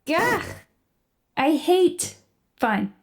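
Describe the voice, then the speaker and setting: A woman's voice: high voice, very animated, drawn-out words, heavily acted. A woman voicing a skeptical, exasperated artificial intelligence in an audiobook, speaking in a doubtful, grudging manner. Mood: reluctant, exasperated. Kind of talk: audiobook character dialogue.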